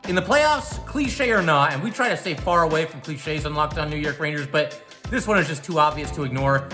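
A man's voice: a little high, expressive, fairly quick, sharp tone, soft background music. A man who hosts a hockey podcast, giving solo commentary on a game.

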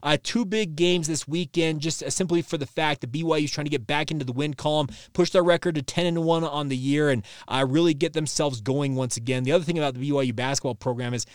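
Male podcast host, thirties, slightly high clean voice, conversational sports commentary with plenty of ums. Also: quick pace, hardly any pauses, smooth, no room sound, studio quality. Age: 30s.

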